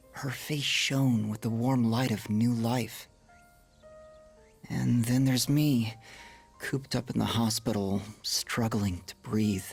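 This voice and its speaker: Sickly young guy in a game, slow and morose, husky whispery voice, bit of fry. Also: mid-range voice, heavily acted. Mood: wistful. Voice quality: breathy, weak, husky. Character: gloomy, sickly young man confined to hospital. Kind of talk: video game voice acting.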